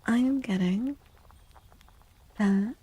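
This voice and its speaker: A woman reading tarot in a soft-spoken ASMR style, gentle and deliberate, often close to a whisper, with pauses and repeated phrases. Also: a little high, slightly rough, low-quality recording. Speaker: streamer, tarot reader.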